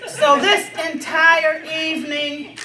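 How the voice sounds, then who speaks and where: Woman, seventies. Big projecting voice, unhurried and emphatic, plain-spoken informal speech in a reverberant room. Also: high-pitched, flat delivery, crowd noise. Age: 70s. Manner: emphatic, outspoken, with pauses for effect. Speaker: reunion speaker. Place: echoey indoor gathering room. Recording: echoey room.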